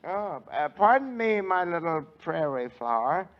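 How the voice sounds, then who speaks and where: A man's voice: fairly high pitch, very animated, a little slow. A man playing the comic sidekick on a 1940s radio show, jokey and self-mocking. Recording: dull old radio broadcast with music.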